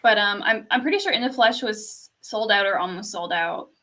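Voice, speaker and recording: Soft-spoken young woman, bright voice. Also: medium pitch, lively intonation, normal speed. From Canada. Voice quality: fairly quiet speaking voice. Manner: storytelling, laughs often, says "like" a lot. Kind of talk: casual chat.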